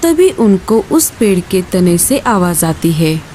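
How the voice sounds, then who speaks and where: Expressive woman narrating a children's cartoon. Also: lowish voice, slow, slight background noise. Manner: expressive, singsong. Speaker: storyteller narrator.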